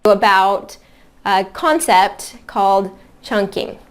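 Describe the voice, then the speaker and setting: A woman's voice: mid-range voice, animated, takes it slowly. Woman giving a sample reading aloud. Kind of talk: reading aloud, demonstration talk.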